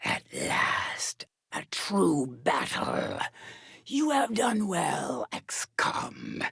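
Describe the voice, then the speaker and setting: Slow, haughty, gravelly alien assassin. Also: female voice, on the low side, wildly swooping pitch, fairly bright tone, full character voice. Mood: haughty challenge. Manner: formal, haughty, deliberate, threatening. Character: proud alien assassin warrior, female, menacing, honor-bound, disdainful. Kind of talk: scripted video game villain voice acting.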